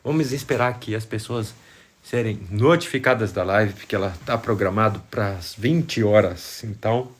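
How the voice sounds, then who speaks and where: A man's voice: fairly low pitch, normal speed. A Brazilian man hosting a casual live stream, chatting and greeting viewers while congested with a cold.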